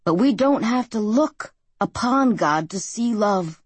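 A woman's voice: medium pitch, expressive, measured pace, husky, no room sound. A woman voicing a male pastor who preaches a wedding sermon in a fervent, rolling pulpit style, as an audiobook character. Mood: preaching.

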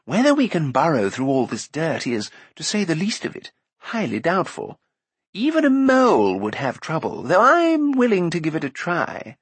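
A man's voice: fairly high pitch, huge pitch swings, normal speed, slightly rough, no room sound, voice pushed up, full character voice. A man voicing a talkative, undaunted bard in an audiobook, with a wry, theatrical manner. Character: jaunty, wordy, undaunted male bard.

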